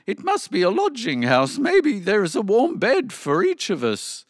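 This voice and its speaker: Guy doing an excitable old storybook donkey, cheerful n encouraging, voice pushed up high, swoops around a lot. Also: slow, vocal fry, no room sound, studio quality, heavily acted. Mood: excited. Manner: exclamatory, enthusiastic. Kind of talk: animated children's storybook character voice.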